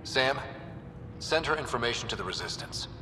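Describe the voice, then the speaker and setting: Dry blunt young space leader, lively up-and-down voice, reverby w/ hiss. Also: male voice, medium pitch, moderate pace. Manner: dry, direct. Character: young male human pathfinder leader. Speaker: team leader. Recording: reverberant game audio with hiss.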